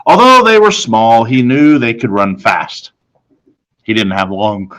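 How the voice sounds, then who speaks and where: A man reading a children's novel aloud expressively, with dramatic, deliberate phrasing. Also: mid-range voice, lively intonation, a bit bright, low-quality recording. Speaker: story reader, teacher.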